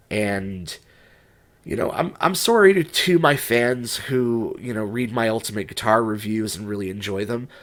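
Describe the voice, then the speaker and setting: A man's voice: lowish voice, a bit bright. A young man with a stuffed-up, congested voice, talking through an unscripted vlog in a rambling, hesitant way full of restarts and fillers. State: stuffed up, recovering from a cold. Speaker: music writer and YouTuber.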